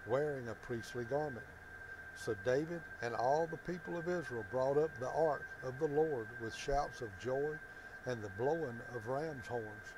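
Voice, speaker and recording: Older man, devotional reader, measured declarative delivery, average pitch, heavy ambient noise. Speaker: devotional host and scripture reader. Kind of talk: scripture reading. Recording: very noisy recording with loud ambience.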